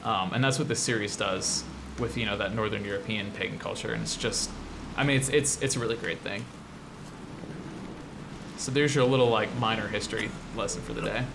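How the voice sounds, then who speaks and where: A man's voice: on the low side, steady tone, brisk, vocal fry, noisy background. An American man from the Midwest hosting casual game commentary, rambling and explanatory with an enthusiastic manner. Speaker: show host, 3D artist.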